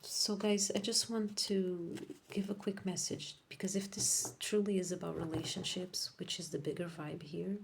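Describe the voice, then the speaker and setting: A woman whose first language is Portuguese, giving a casual tarot reading to viewers, rambling and correcting herself, often dropping into soft whispers. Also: fairly low pitch, fairly even intonation, normal speed, vocal fry, few pauses, a little hiss or hum. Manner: drifts into soft whispers while laying cards. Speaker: tarot reader.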